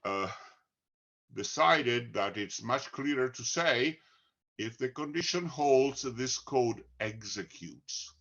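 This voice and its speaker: A man with an Italian accent, a book co-author, talking slowly and deliberately with many pauses. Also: mid-range voice, animated, fairly bright tone, muffled recording. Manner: slow, deliberate, frequent "uh" pauses. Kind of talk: conversational talk.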